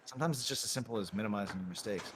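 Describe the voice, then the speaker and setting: A man in his thirties calls table soccer in a relaxed, chatty play-by-play. His voice sits low. Steady crowd noise from the tournament hall can be heard behind him. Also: very fast. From Connecticut, US. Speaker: commentator. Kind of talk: live table soccer commentary. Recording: live venue noise behind.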